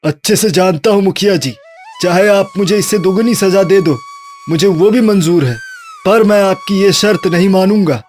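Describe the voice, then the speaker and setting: Guy doing an earnest good-brother type from a cartoon, high voice, faint music behind. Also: normal speed, darkish tone, lightly acted. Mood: resolute. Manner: earnest, firm. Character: righteous, protective good brother, firm but caring young villager. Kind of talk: Hindi cartoon moral story dub.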